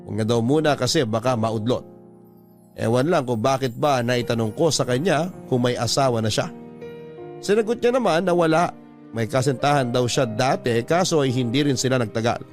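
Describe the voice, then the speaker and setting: A man's voice: medium pitch, lively intonation, moderate pace. A man narrating a listener's love story in classic radio drama style, in an intimate, confiding way. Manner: intimate, confiding, reads a listener's letter aloud. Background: faint music.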